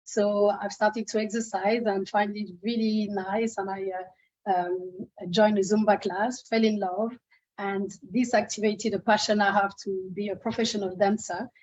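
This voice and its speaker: A woman's voice: medium pitch, flat delivery, unhurried, darkish tone. A woman with a French accent introducing herself as a fitness instructor in an online meeting, hesitant with many fillers. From France. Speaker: Zumba instructor. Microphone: video call. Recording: muffled video call audio.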